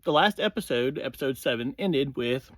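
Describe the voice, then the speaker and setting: A man hosting a review series, giving a casual, informal recap in halting phrases that often open with "well". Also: medium pitch, expressive, fairly bright tone, dry recording.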